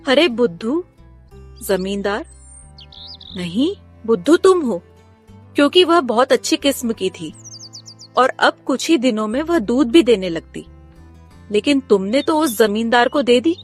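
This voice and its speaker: High-voiced, scolding village wife played by a woman. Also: animated, measured pace, pauses often, faint music behind. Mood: angry, scolding. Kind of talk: Hindi cartoon story dialogue.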